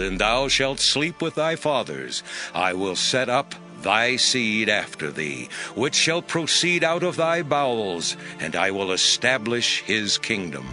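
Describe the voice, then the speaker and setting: An actor voicing a solemn, commanding Lord God in a slow, declamatory dramatized scripture reading. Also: male voice, medium pitch, exaggerated voice. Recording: loud musical score behind.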